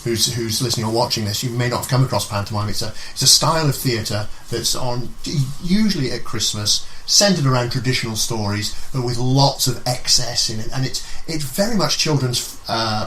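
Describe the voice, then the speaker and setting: Male radio host for conversational interview, stop-start phrasing, ordinary pitch, slight ambient noise. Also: fairly bright tone, poor audio. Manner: halting, repeats and restarts words.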